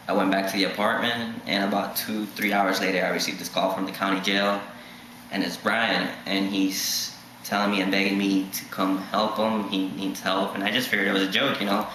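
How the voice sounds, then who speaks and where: A nineteen-year-old man telling a mock documentary story in a flat, hesitant way. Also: fairly low pitch. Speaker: student interviewee. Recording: echoey room with faint noise.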